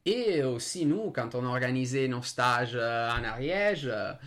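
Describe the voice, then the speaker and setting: A man's voice: medium pitch, animated, a little slow, a bit bright. A man with an Italian accent, a native Italian speaker, talking hesitantly and searching for words in an unscripted discussion. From Italy.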